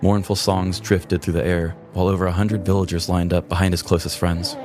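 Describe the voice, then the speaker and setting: A male narrator tells a scripted comedic story. His voice is very low in pitch. A clear music bed plays under the narration. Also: flat delivery, brisk, a little mellow.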